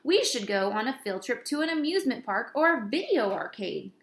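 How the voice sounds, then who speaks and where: A woman's voice: fairly high pitch, sing-song, a little fast, gravelly. A woman voicing a grumbling young schoolboy who hates school, complaining emphatically in a children's storybook. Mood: indignant, bored. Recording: roomy, echoey indoor recording.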